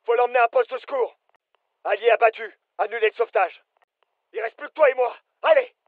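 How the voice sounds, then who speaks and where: An actor playing a disciplined, urgent soldier in a military video game, giving short, clipped tactical callouts. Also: male voice, very high voice, brisk, no echo, narrow, tinny audio. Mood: businesslike. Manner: clipped, commanding, terse.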